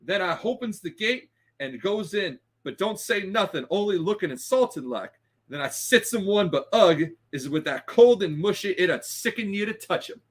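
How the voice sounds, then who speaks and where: A man's voice: high-pitched, animated, normal speed, slightly rough. A man voicing a young, deferential but scrappy Cockney bellboy who talks in ungrammatical, indignant bursts in a read-aloud story. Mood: animated, indignant. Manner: ungrammatical, peppered with respectful address.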